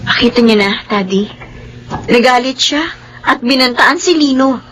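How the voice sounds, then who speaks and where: A woman acts out a young daughter in a radio drama. Her voice is extremely high and plaintive, with an anxious, accusing edge. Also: moderate pace, natural voice. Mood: upset, accusing.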